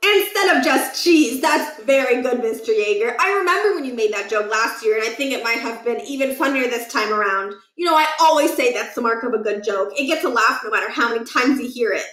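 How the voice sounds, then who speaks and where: A woman's voice: on the high side, expressive, fairly quick, few pauses, a bit bright, far from the mic. A goody-goody schoolboy played by a woman reading a storybook, chatty and pompous. The voice is raised and eager, full of prim self-importance, in an echoey room. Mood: flattering, eager.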